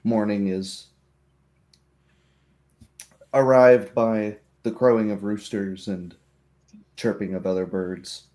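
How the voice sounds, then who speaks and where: A man runs a tabletop roleplaying game as its narrator. His voice is soft-edged and dark, and he describes scenes slowly in halting phrases. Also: lowish voice, steady tone. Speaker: game master.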